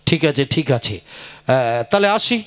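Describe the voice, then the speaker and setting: A man voicing a gruff, impatient village gentleman in a comic puppet play, curt and grumbling. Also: mid-range voice, very animated, unhurried. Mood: agreeable. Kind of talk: comic Bengali folk puppet theatre.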